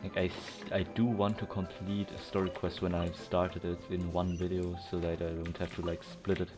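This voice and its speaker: A man's voice: low voice, level tone, moderate pace. A man commenting casually on his gameplay in a rambling, laid-back, amused way, full of fillers. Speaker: gamer. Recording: voice over loud game music.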